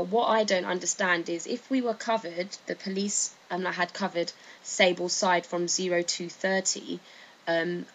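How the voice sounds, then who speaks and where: A woman narrates in a low-pitched voice. Her commentary is informal and explanatory, with a hesitant, tentative delivery. There is faint noise behind her. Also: a bit bright, rough recording. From United Kingdom. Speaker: video narrator.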